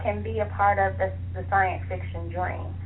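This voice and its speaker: A woman's voice: medium pitch, steady tone, moderate pace. A woman being interviewed as an author, chatting in an enthusiastic, conversational way over a phone line. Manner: chatty, enthusiastic, laughs easily. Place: phone call to internet radio show. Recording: tinny phone line with clear hiss.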